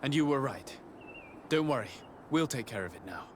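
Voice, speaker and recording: A man voicing a polite, resolute noble envoy in a video game, speaking in a formal, measured way. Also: medium pitch, expressive, a little hiss or hum, lightly acted. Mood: grave, resolute. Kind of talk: video game cutscene acting.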